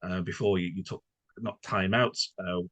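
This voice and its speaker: A man hosting a conversational interview, courteous and hesitant, often halting mid-phrase. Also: deep voice, fairly even intonation, fairly bright tone, no room sound. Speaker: podcast interviewer.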